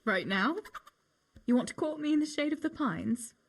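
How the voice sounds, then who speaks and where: A woman's voice: high voice, lively intonation, normal speed, creaky. A young woman playing a wry, reluctant miller's daughter in a medieval video game, with a dry manner. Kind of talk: video game cutscene acting.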